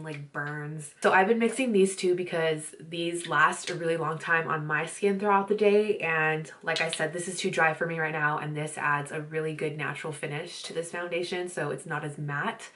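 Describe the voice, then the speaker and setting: A woman's voice: lowish voice, a bit bright. A young woman with a stuffy nose, chatting casually in a beauty vlog and rambling along apologetically as she films at home. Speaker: beauty vlogger. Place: home filming setup.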